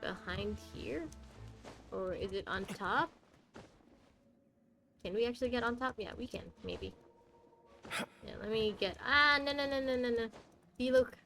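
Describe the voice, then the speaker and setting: A woman's voice: on the high side, a little slow, long pauses, bright, forceful tone. A woman game streamer giving casual live commentary, chatty and musing aloud in questions. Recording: faint game music behind.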